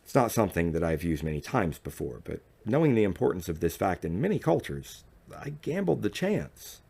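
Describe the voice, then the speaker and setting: A man narrating an audiobook in the first person, with a measured, dramatic reading manner. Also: low voice, big pitch swings, creaky, dark tone, breathy edge.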